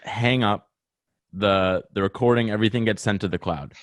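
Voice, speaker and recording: A man's voice: on the low side, normal speed, dry recording. A young man with a Rhode Island accent, hosting a casual podcast chat and explaining his process in long rambling runs. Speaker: podcast host.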